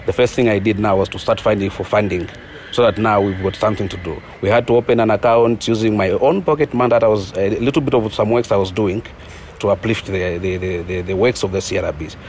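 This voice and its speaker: A man with a Zambian accent telling his own story informally, halting and repeating words as he goes. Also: fairly low pitch, a little mellow, slight background noise. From eastern Zambia. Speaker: community conservation leader. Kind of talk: personal storytelling in an interview.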